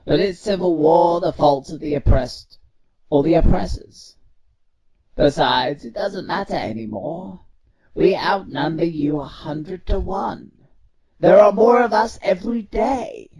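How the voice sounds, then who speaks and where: An actor playing a proud, bitter robot civilian leader in an audio drama, with formal, accusatory speech. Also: male voice, on the high side, a little slow, a crowd in the background. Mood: cold, defiant.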